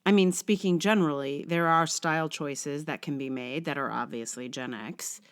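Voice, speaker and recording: A woman's voice: low-pitched, normal speed. A middle-aged woman with a congested, cold-affected voice, hosting a podcast in a wry, conversational way. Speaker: podcast host. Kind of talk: casual intro, then reading own blog essay aloud.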